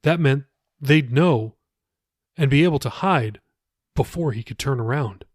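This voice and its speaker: A man's voice: medium pitch, a little slow, dry recording, studio quality. A man reading his own novel aloud as scripted audiobook narration.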